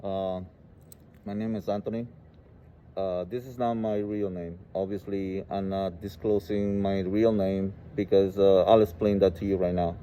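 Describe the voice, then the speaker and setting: A man in his forties from El Salvador with a Salvadoran Spanish accent, telling his personal story informally and haltingly, often saying "you know". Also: deep voice, little pitch movement, warm tone. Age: 40s. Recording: muffled, with clear background noise.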